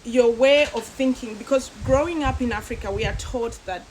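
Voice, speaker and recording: A woman's voice: fairly high pitch, normal speed, a little mellow, slight background noise, poor audio. A woman from Zambia talking as a guest, reflecting thoughtfully and casually with a friend. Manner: thoughtful, reflective, many fillers.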